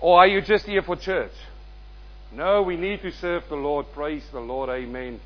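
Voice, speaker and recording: A male guest preacher delivering a sermon and prayer, quizzing the congregation and swelling loud in prayer before dropping soft. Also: a little high, moderate pace, dull audio. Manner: calls out questions to the congregation.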